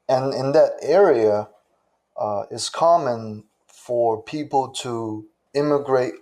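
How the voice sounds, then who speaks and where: Interview guest, a man from southern China; unhurried, deliberate delivery. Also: mid-range voice, a little mellow. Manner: slow, measured, with frequent "uh" pauses. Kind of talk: casual interview answers.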